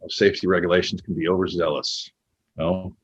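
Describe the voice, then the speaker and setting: A man playing a probing, cynical former literary agent in a naturalistic two-man play, pointed and halting, with a husky voice. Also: low voice, level tone, muffled recording. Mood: needling. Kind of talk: naturalistic stage dialogue.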